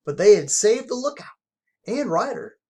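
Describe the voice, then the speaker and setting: A reader telling a children's adventure story aloud in an expressive, emphatic way. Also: medium pitch, moderate pace.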